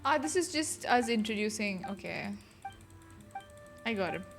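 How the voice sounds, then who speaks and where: A woman game streamer reacting casually and haltingly to a live playthrough, muttering to herself over game music. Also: mid-range voice, expressive.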